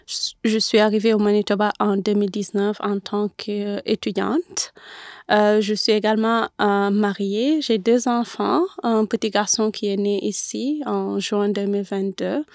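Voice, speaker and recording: Woman in her thirties, careful and halting, dry close sound. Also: mid-range voice, steady tone, a little mellow, no room sound. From Senegal. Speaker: guest, former student. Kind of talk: conversational interview.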